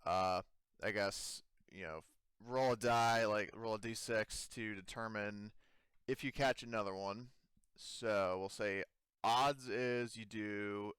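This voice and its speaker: A man acting as game master, narrating a tabletop game casually and haltingly as he improvises. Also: lowish voice, a bit bright, clear, resonant tone, no room sound. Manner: halting, conversational.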